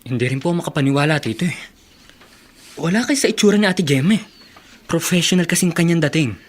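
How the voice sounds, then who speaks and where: A man's voice: fairly high pitch, lively intonation, fast. An actor playing a polite, unsure young man in a radio drama. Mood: thoughtful. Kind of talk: Tagalog radio drama acting.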